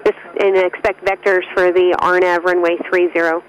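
A woman's voice: fairly low pitch, level tone, moderate pace, lo-fi sound. A woman working as an air traffic controller, giving terse, stern, clipped instructions over aviation radio. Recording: radio transmission with faint noise.

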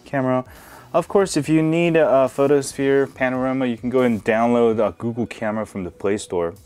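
A man hosting a casual hands-on phone review, relaxed and full of filler words, recorded indoors at a desk. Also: medium pitch, a little fast, clean tone. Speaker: tech video host.

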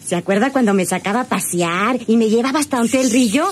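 A woman's voice: fairly high pitch, quick pace, natural voice. A woman playing a sweet, devoted young ranch daughter with a rural Mexican accent in a radio serial. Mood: gentle, reassuring. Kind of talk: old Spanish-language radio serial acting. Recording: noisy old radio drama recording.

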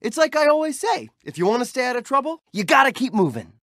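An actor playing a cheerful, street-smart young hero who became a prince, speaking friendly, playful video game lines. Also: male voice, extremely high pitch, very animated, fast talker, fairly bright tone, no room sound, studio quality, exaggerated voice. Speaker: game character voice clips.

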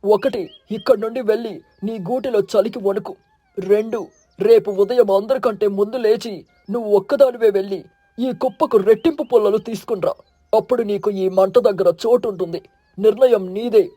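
A man as a stern, mellow-voiced eagle leader. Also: high-pitched, normal speed, dry recording. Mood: stern, authoritative. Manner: firm, scolding, commanding. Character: stern, righteous male eagle, leader of a bird village. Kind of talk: animated moral-story dialogue.

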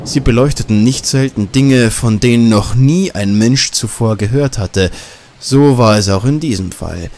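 A man's voice: mid-range voice, unhurried, a little mellow. A man narrating a scripted horror story as an audiobook.

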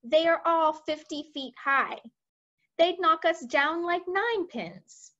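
A woman's voice: very high voice, slow pace, bright, forceful tone, dull audio, pitched above the performer's own voice, full character voice. A woman voicing an impatient, blustering male army chief from a children's storybook, indignant and snappy.

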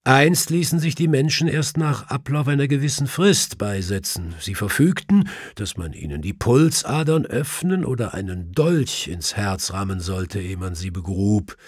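An earnest, cautious Victorian doctor in an audiobook, played by a male narrator. The voice is husky and recorded very dry. He explains in measured, formal sentences. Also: fairly low pitch, animated, no room sound. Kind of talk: audiobook character voice.

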